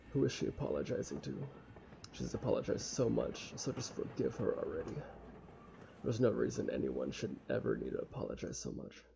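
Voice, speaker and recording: A young man with a casual, halting, sweary manner reading a visual novel aloud and commenting as he plays. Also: mid-range voice, gravelly, dark tone. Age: young adult. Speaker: let's play streamer. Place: small room at home. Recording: dull lo-fi mic with faint noise.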